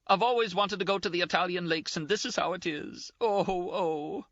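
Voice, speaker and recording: A man voicing a tearful, scolding young Scottish nurse, shrill and sobbing in an audiobook. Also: fast talker, pushed and bright, dry recording, dull audio, exaggerated voice. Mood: distraught. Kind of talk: audiobook character voice.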